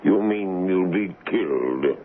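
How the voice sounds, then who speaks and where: A worried, loyal aide in an old radio adventure, hesitant and very slow of speech. The recording is muffled, with faint noise. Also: male voice, low-pitched, lively intonation. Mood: hesitant concern. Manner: hesitant, fretful. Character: anxious loyal royal aide, worried for his friend. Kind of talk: radio drama acting. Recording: dull, faintly noisy 1970s radio broadcast.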